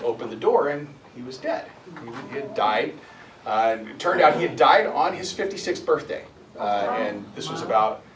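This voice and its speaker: A man in his fifties giving a halting, earnest storytelling talk as a guest speaker at a spiritual center. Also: mid-range voice, a little hiss or hum. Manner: halting, many restarts and fillers, earnest. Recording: echoey room, mic at a distance, faint ambience.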